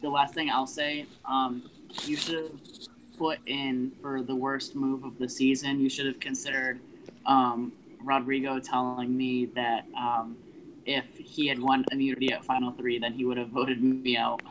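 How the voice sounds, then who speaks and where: A man's voice: mid-range voice, little pitch movement, normal speed, noisy background. A man chatting casually as a game contestant, recounting things to the group.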